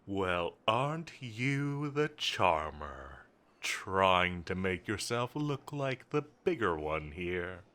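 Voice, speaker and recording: A man's voice: low voice, sing-song, drawn-out words, a bit bright, full character voice. A man playing a confident, teasing villain commander in a roleplay audio drama, drawling and flirtatious with a bossy edge. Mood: amused, teasing. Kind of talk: scripted roleplay audio drama addressed to the listener.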